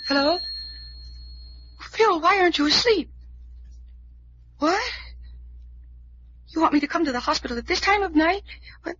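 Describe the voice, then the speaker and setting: A woman's voice: very high voice, measured pace, pauses often, a bit bright. A woman playing an anxious, tender young woman in danger, with a hesitant, pleading manner, in a vintage radio mystery serial. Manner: fretful, faltering, pleading. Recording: dull, faintly hissy old radio recording.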